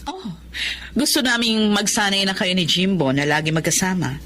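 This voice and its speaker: A woman's voice: medium pitch, expressive, normal speed, fairly bright tone, a little hiss or hum, close to an everyday voice. An actress playing a firm, domineering middle-aged mother and company owner in a Filipino melodrama. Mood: scheming, firm. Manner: firm, imperious.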